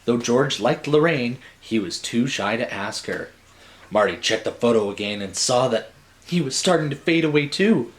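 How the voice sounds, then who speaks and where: A man's voice: mid-range voice, animated, normal speed. A man narrating a story like a storybook, theatrical and dramatic, with wry asides.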